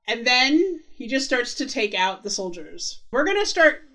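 Woman, podcast co-host, fairly high voice, chatty, detail-focused recap. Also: big pitch swings, unhurried, sharp tone. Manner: chatty, walks through details like a tour guide. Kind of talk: casual recap chat about a TV episode.

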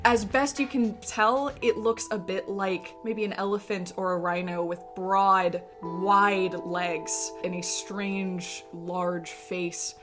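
A woman game master narrating a tabletop fantasy game with deliberate, descriptive storytelling. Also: medium pitch, steady tone. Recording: music playing behind. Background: music.

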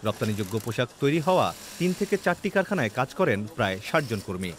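A man narrating a television news report in an even, measured delivery. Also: medium pitch, heavy background noise. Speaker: field reporter.

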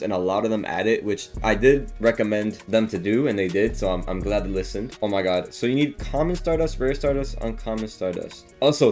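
A man giving casual, excited gameplay commentary in a slangy, animated, easily amused way. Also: fairly low pitch. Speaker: gaming video creator. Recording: background music behind the voice. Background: game music and effects.

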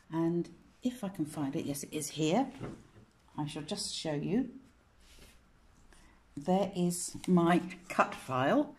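A woman hosting a casual craft software tutorial at home, chatty and rambling, often correcting herself, with a slightly croaky voice. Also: low voice. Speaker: craft channel host. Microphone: phone camera pointed at a monitor.

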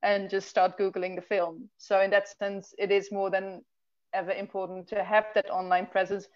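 A woman explains her points fluently as a panelist on an online panel. The video call audio is slightly dull, and her pitch sits mid-range. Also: little pitch movement, moderate pace.

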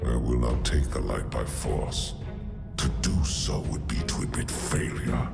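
A brooding alien warlord from a video game, speaks with heavy gravel and solemn grandeur. The voice echoes over loud orchestral-style game music, unhurried and proud. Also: male voice, full character voice. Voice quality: deep, gravelly. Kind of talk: dramatic video game villain acting. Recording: echoing, with loud music behind.

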